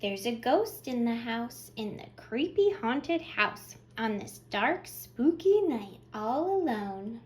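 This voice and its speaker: A woman's voice: a little high, big pitch swings, slow pace, few pauses, a little mellow. A woman hosting a children's storytime, reading aloud expressively and speaking to young listeners with simple questions and praise. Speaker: children's librarian, storytime host.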